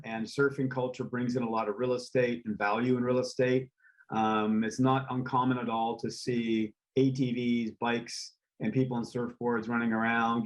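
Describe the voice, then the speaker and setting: A man, a real estate developer, speaking as a guest in a casual interview, relaxed and rambling with frequent ums and uhs. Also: mid-range voice, poor audio. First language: English.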